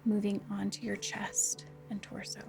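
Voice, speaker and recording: A woman leading a guided meditation, speaking in a soft, calm, unhurried and soothing way. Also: medium pitch, creaky, soft background music. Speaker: counselor guiding a body scan meditation.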